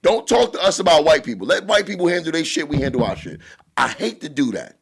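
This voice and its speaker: A man with a New York accent and a booming voice, co-hosting a podcast in casual, blunt chat, swearing freely. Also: sing-song, fast, creaky. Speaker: podcast co-host.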